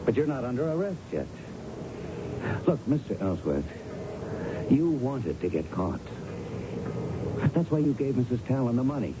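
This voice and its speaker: An actor playing a shrewd police lieutenant in a 1970s radio drama, questioning pointedly and persuasively. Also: male voice, mid-range voice, big pitch swings, moderate pace, long pauses, dull audio, lightly acted. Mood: insistent. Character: shrewd, pressing male police lieutenant coaxing a confession. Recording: noisy band-limited old radio broadcast.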